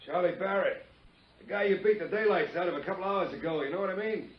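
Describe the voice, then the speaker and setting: A man's voice: high-pitched, fast talker, lightly acted. An actor playing a chummy American tough guy from Chicago in a 1950s radio drama. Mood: placating. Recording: dull, noisy 1950s radio recording.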